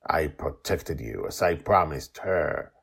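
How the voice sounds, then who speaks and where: Guy doing a stern dismissive guardian spirit, gravelly rasp, deep, measured, story podcast. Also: animated, exaggerated voice. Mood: derisive. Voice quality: raspy. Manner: derisive, measured.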